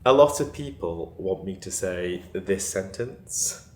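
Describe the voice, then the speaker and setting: A man with a northern Cheshire accent chatting casually to camera in a vlog, laughing often and sounding out his T's crisply. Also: low voice, expressive, takes it slowly, darkish tone, echoey room. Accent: northern Cheshire English. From England. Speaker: vlogger.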